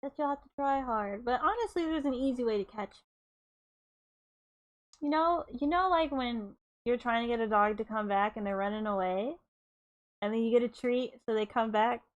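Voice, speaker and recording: A woman with a high voice hosts a casual livestream readalong. She is playful and giggly, moving easily between reading a story aloud and chatting. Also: normal speed, lo-fi sound. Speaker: streamer reading a light novel aloud.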